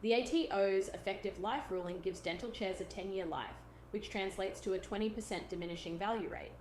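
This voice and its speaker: A woman reading a scripted explainer in a measured, instructional way, as a presenter. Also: mid-range voice, a little mellow, a little hiss or hum.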